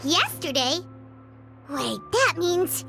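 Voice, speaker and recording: A woman voicing a tiny, childlike, chatty fairy companion in an anime game, with a squeaky voice. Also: sing-song, takes it slowly, creaky, sharp tone, heavily acted. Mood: surprised. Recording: music behind the voice.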